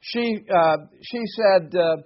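A man's voice: sits high, takes it slowly, lo-fi sound. A man in his sixties preaching a sermon in an earnest, emphatic way, driving points home by repeating phrases. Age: 60s.

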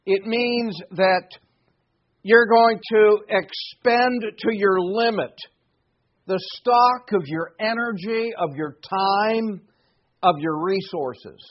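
A man's voice: high-pitched, dull audio. A man in his sixties preaching a sermon in an earnest, emphatic way, driving points home by repeating phrases. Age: 60s.

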